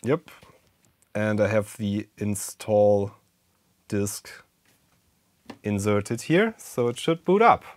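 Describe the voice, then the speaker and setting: A man's voice: on the low side, very animated, slow, long pauses. A German man, native German speaker, hosting a casual retro computer walkthrough, thinking aloud with many fillers. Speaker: retro computing video host. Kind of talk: casual hands-on tech walkthrough.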